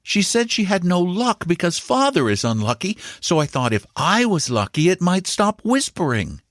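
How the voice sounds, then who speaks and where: A man's voice: very animated, moderate pace, a bit bright, no echo, clean studio sound. A man doing a tense, anxious young boy. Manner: high, strained, earnest.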